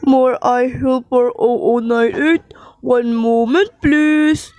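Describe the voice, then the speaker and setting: A woman playing a polite, routine-bound telephone operator with formal, measured phrasing in an amateur radio play. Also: a little high, steady tone, a little mellow, smooth, dry recording, pitched below the performer's own voice. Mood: politely detached.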